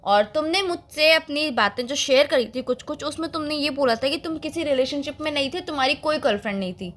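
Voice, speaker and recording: An actress as a hurt young woman in a phone-call drama, accusing and scolding. Her voice is very high and sharp, and she presses with pointed questions in casual speech. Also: expressive, brisk.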